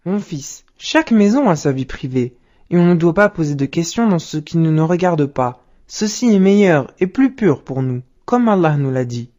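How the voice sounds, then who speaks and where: A woman voicing a patient, wise father who gently explains things to his son in a cartoon, on dull lo-fi audio. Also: lowish voice, animated, moderate pace, lightly acted. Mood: patient teaching.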